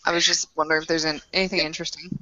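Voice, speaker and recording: Woman tabletop gamer, casual halting improvisation, lowish register, slightly dull recording. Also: little pitch movement, sharp tone, no room sound. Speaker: roleplaying game player.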